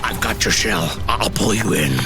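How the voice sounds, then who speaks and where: A man playing a grave, terse, commanding adventurer with a gravelly voice in an audio drama. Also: on the low side, animated, normal speed. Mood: commanding, steady. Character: grave, steady, commanding male adventurer guide. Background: loud music.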